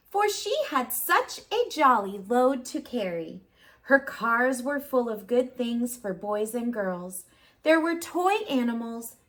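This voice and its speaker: A woman preschool teacher reading a storybook aloud, expressive, with simple child-directed phrasing and questions for young listeners. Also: high-pitched.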